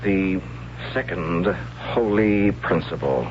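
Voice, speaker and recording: Lowish-voiced, artless young radio innocent. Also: male voice, highs cut off, a little hiss or hum. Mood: hesitant, submissive. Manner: hesitant, artless, halting. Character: simple, cheerful, inept young son of high priest. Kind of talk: 1970s radio drama acting. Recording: band-limited old radio broadcast, loud music bed.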